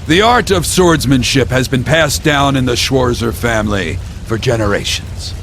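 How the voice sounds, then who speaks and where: A man's voice: medium pitch, expressive, moderate pace, creaky, fairly bright tone, a little hiss or hum. A man playing a proud, honorable middle-aged baron in a video game, speaking formally and firmly. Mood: proud resolve. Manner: formal, firm, declamatory. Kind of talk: dramatic video game acting.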